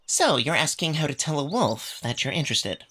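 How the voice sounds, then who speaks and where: A man's voice: on the high side, very animated, moderate pace, bright, forceful tone, breathy edge, pitched above the performer's own voice, exaggerated voice. A man voicing a reserved male cat who is polite, coy and a little sly. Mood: coy teasing.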